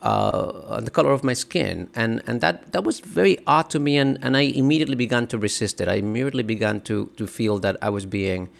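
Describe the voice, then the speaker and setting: A middle-aged man from the Dominican Republic, an author answering interview questions in a halting, thoughtful way with many restarts. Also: medium pitch, sing-song, gravelly. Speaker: author and guest.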